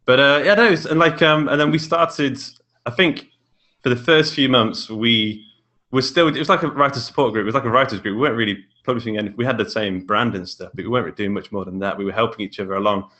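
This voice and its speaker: A man's voice: medium pitch, fast, pushed and bright. A man with a British accent talking casually as an indie author guest on a podcast. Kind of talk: casual interview chat.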